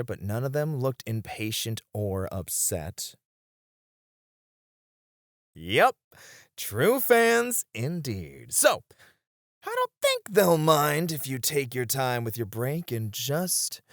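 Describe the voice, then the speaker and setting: A man playing a flirty, supportive club host in an ASMR roleplay, speaking in a gentle, reassuring way. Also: medium pitch, no echo, studio quality, full character voice. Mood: playful, reassuring. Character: flirty, supportive male club host comforting his friends.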